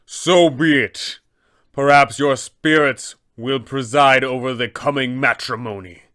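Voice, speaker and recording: A man voicing a fallen angelic male abbot, solemn and grandiose, in a slow, booming, proclamatory delivery. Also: sharp tone. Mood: menacing.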